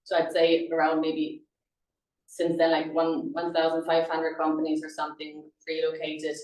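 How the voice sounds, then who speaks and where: A woman's voice: low-pitched, monotone, moderate pace, darkish tone. A woman from Germany with a German accent, presenting conversationally in a webinar as a recruitment consultant, in an informal, chatty way. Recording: roomy echo, distant microphone.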